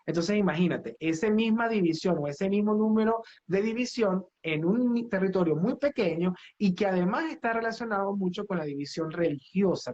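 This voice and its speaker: A man's voice: sits high, darkish tone, muffled recording. A man, a professor, giving earnest answers in an interview, explaining with examples and checking in with the listener. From Venezuela. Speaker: guest professor.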